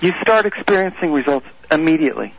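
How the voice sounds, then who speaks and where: A man with a high, husky voice explains and coaches with emphatic repetition. His voice comes through dull, lo-fi radio audio. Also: expressive, fast, no echo. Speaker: guest expert on the brain. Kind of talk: radio call-in talk.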